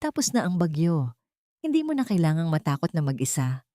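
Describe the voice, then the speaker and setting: A woman narrator plays a devoted Filipino billionaire man in an audiobook romance. He is calm, protective and tenderly reassuring. The recording is dry. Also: sits low, brisk, no echo. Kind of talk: audiobook character dialogue.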